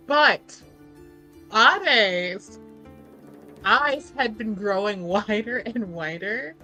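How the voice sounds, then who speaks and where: A woman narrating a character in a tabletop roleplaying game, casually improvising in a halting, emphatic, conversational way. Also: mid-range voice, sharp tone.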